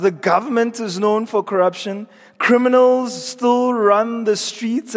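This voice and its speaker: A man preaching an earnest evening sermon in a church, his voice scratchy and strained. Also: high voice. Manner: earnest, repeats words when he restarts. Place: church hall. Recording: long reverb of a church hall.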